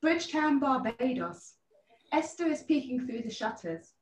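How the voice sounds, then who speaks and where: A woman's voice: high-pitched, animated, measured pace. A woman narrator reading out the scripted stage directions of a play. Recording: echoey, distant from the mic.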